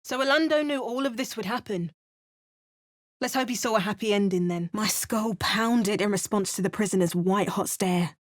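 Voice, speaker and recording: A woman's voice: mid-range voice, normal speed, close to an everyday voice. A woman voicing a wry young adventurer heroine. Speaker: player character voice. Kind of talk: video game voice lines.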